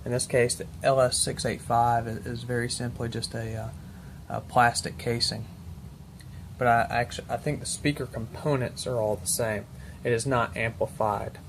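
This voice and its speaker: A man with a mid-pitched voice talks through technical equipment as a presenter, off the cuff and step by step. His delivery is matter-of-fact and exact about detail. There is noticeable background noise in the recording. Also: little pitch movement, moderate pace. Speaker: presenter demonstrating military intercom equipment. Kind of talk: unscripted technical walkthrough.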